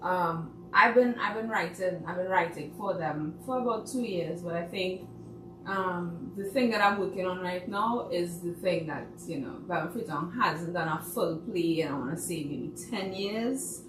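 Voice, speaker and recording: A woman's voice: on the low side, moderate pace. A woman with a Trinidadian accent, a playwright guest, chatting casually and animatedly with plenty of fillers. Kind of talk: casual chat. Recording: roomy, distant mic, faint noise.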